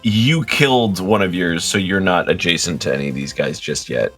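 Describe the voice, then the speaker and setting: A man's voice: deep voice, normal speed, a bit bright, soft background music. A man running a tabletop game, narrating casually to the players as game master.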